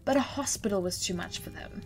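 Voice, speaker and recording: Woman doing a calm interrogator, quiet and measured, pressing. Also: medium pitch, a little hiss or hum, lightly acted. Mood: probing. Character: cool probing woman interrogator.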